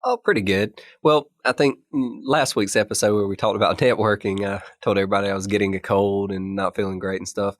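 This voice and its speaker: A man co-hosting a podcast, talking in a relaxed, explanatory way while getting over a chest cold that leaves his voice scratchy. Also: fairly low pitch, fairly quick, hardly any pauses, no echo, studio quality. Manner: conversational, explanatory, laughs easily. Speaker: podcast co-host. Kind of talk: casual podcast chat.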